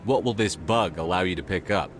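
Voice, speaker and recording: A man playing a steady, direct military commander in a science fiction video game, terse and controlled. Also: low voice, fairly quick, lightly acted. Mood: probing, skeptical. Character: steady, no-nonsense male military commander of space opera. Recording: clear background ambience noise.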